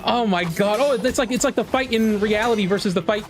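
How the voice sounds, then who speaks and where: A gaming content creator giving casual live reaction commentary, excitable and exclamatory, swearing freely. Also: mid-range voice, brisk. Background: trailer music behind the voice.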